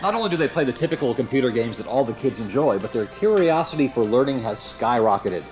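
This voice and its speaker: A man's voice: mid-range voice, animated, fast, a little mellow, close to an everyday voice. A man playing a proud suburban father in the style of a 1990s promotional video. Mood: proud, upbeat. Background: faint music.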